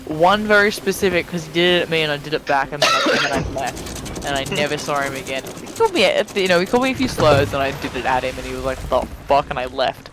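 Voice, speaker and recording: Higher-pitched man bantering over noisy voice chat. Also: expressive, fast. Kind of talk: casual banter during a game stream. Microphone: online voice chat.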